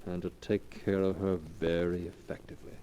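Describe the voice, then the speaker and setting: An actor playing a smooth, scheming young charmer, persuasive and theatrical, in 1940s radio drama style. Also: male voice, sits low, flat delivery, fairly quick, mellow, a little hiss or hum, natural voice, low-quality recording. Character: smooth, scheming young rogue, charming two-timing grandson. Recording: vintage 1940s radio recording.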